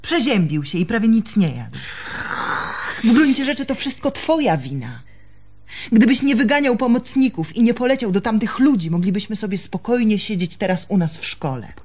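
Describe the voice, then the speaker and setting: An actress playing a proud, reproachful young barmaid and jilted fiancée in a radio drama, speaking accusingly and emphatically. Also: female voice, medium pitch, very animated, moderate pace, a little mellow, slight background noise.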